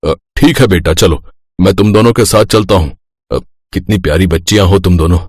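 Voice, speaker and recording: A man voicing a gentle, hesitant traveller in a kindly storybook manner. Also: sits low, a little fast, slightly rough, no room sound, clean studio sound, voice pushed down. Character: gentle, hesitant adult male traveller, fond of children. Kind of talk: storybook character acting.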